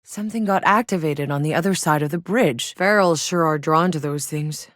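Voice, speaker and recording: A woman's voice: low-pitched, normal speed, dry recording, studio quality, natural voice. A woman playing a tough, wry soldier scouting a hostile alien world in a video game, speaking terse, matter-of-fact scripted lines. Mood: matter-of-fact, focused.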